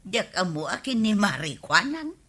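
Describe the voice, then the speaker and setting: A woman's voice: mid-range voice, sing-song, moderate pace, fairly bright tone. A woman playing a complaining, haggling village woman in a radio comedy-drama, with an Ilocano accent.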